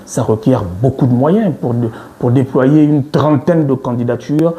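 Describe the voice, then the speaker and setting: A man's voice: medium pitch, normal speed, warm tone, slight background noise. A man interviewing a politician on TV, probing and insistent in his questioning.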